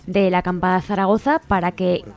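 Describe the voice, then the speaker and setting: A woman's voice: lowish voice, little pitch movement, a little fast, a little mellow. A woman with an accent from Spain, hosting a radio show and informally reading out event announcements. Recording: music playing behind the voice. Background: music.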